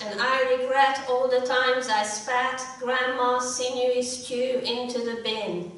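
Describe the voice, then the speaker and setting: A middle-aged woman with a slightly croaky voice, reading her poetry in a measured, deliberate way at a live event. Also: fairly high pitch, a bit bright, slight background noise. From Hungary. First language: Hungarian. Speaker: poet. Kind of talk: live poetry reading with short spoken introductions. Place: indoor live event room. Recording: echoey room, distant mic.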